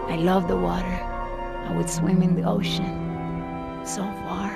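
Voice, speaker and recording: Over loud music, a proud island woman with a fairly low voice and a Latin American Spanish accent. She speaks earnestly and deliberately, wistful and guarded, in a dramatic game cutscene. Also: level tone, vocal fry. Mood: wistful, nostalgic.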